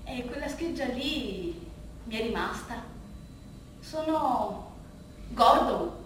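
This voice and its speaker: An actress playing a bittersweet, rambling woman who reminisces in a halting, confiding, repetitive colloquial way, as in a theatre monologue. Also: on the high side, animated. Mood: wistful, wry. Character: rambling, bittersweet woman reminiscing about her athletic youth. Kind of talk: theatrical monologue, conversational storytelling. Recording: echoey room, distant mic, faint noise.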